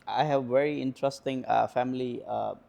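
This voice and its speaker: A man in his twenties with an Indian accent, a guest answering questions in a casual interview, hesitant with many pauses and fillers. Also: medium pitch, steady tone, creaky, dark tone, lo-fi sound. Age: 20s.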